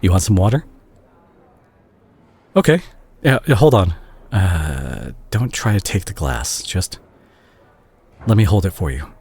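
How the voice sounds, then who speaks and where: A man playing a remorseful, tender doctor ex-boyfriend in an intimate audio roleplay, speaking softly and hesitantly to the listener. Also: low voice, sing-song, a little slow, gravelly, long pauses, husky, full character voice. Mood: tender, caring. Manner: hesitant, gentle, self-conscious, sighing. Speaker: audio drama voice actor.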